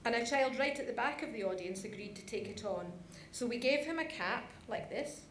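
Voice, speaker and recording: Female presenter, fairly high voice, informal and chatty, reverberant conference hall. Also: animated, a little fast, a bit bright. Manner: chatty, addresses the audience directly. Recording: echoey hall, some background noise.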